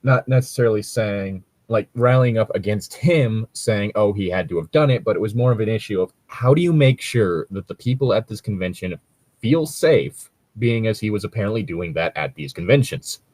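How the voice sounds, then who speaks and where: A man with a low voice talks in a loose, conversational way, recorded close and dry. He rambles and hedges as he comments, swearing casually along the way. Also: expressive, moderate pace, darkish tone, no room sound. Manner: rambling, hedging, casually profane. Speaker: co-host reacting to read-out stories. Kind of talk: casual chat and commentary on a read story.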